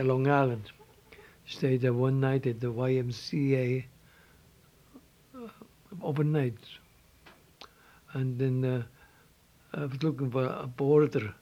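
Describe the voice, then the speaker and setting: An older man from Switzerland with a Swiss German accent, telling his life story in halting English with repeated words and restarts. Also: mid-range voice, darkish tone. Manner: halting, repeats words, non-native phrasing. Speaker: interviewee.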